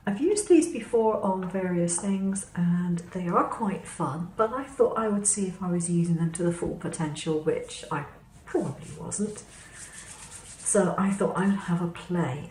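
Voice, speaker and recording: A slightly hoarse woman talks through her craft materials in a relaxed, chatty way, taking her time over each one. Her voice sits a little low. The recording is roomy, with a faint hum behind her. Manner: unhurried, chatty explaining while handling materials. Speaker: craft vlogger. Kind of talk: casual product demonstration. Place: indoors at a crafting table.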